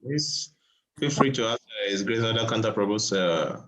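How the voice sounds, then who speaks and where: A man's voice: fairly low pitch, animated, a bit bright, a little hiss or hum, rough recording. Polite man hosting a Q&A. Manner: effusive, polite, with frequent "uh" pauses. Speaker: host moderating questions. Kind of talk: moderating a Q&A session.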